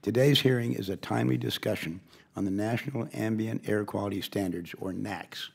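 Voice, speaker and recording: An older man with a hoarse voice, reading a formal opening statement as committee chairman with occasional asides. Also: fairly low pitch, fairly quick, darkish tone.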